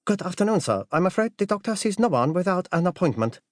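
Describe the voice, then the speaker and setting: Man putting on a high-pitched, deferential butler. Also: big pitch swings, fast talker, no room sound, studio quality. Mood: polite, formal. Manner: deferential, formal. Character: diminutive, polite Japanese male butler, formal and guarded.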